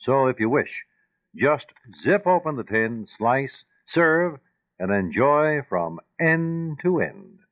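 A man's voice: mid-range voice, very animated, slow pace. A man reading a scripted radio advertisement as a commercial announcer. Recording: tinny old radio broadcast.

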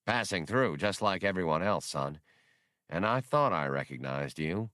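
A man's voice: deep voice, lively intonation, moderate pace, pushed and bright, no room sound, clean studio sound. A man voicing a genial, paternal older ex-smuggler, easygoing and friendly, in an audiobook. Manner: easygoing, fatherly.

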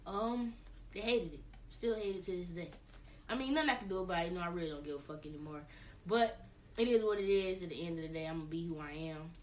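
A woman's voice: on the low side, fast, a little mellow, distant mic, a little hiss or hum. A woman guest on a casual podcast, rambling and correcting herself, blunt in tone. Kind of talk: casual chat.

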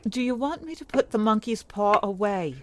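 A woman acting a fond, practical older wife and mother in an audio drama, gently chiding and fretful. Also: medium pitch, expressive, moderate pace, natural voice. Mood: gently concerned. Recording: audible background noise with clicks.

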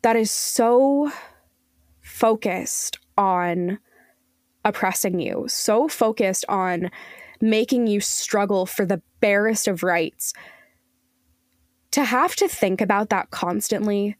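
A woman's voice: medium pitch, takes it slowly, long pauses, no room sound. A woman with a mid-range voice talks as an interview guest on a podcast. She is earnest and emphatic, choosing her words articulately. The sound is very dry, with little room around her. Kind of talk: conversational interview.

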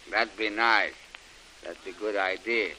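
Brutish radio-serial thug, gloating in slow pidgin English, piercing edge, hiss on the recording. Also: male voice, lowish voice, bright, forceful tone, exaggerated voice, rough recording. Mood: leering, scheming. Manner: broken pidgin English, blustering. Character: burly brutish henchman Big Tom, gloating and bloodthirsty. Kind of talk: 1930s radio adventure serial acting. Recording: hissy old radio recording.

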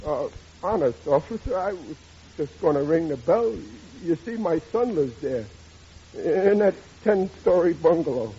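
A man's voice: fairly high pitch, animated, a little slow, warm tone. An actor playing a melodramatic, woeful middle-aged working-class father in 1940s radio comedy style, whining and ungrammatical. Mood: pleading. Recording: dull noisy old radio recording.

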